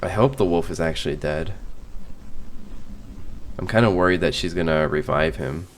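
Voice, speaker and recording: A man giving casual, informal reaction commentary, thinking out loud as he watches. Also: low-pitched, moderate pace, slight background noise. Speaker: reaction video host.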